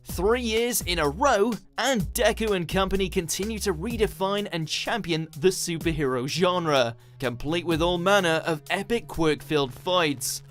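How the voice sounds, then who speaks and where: This is a man with a notably high voice for a male speaker. He delivers scripted narration in the manner of a countdown video narrator. Faint music plays behind his words. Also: measured pace, few pauses, fairly bright tone.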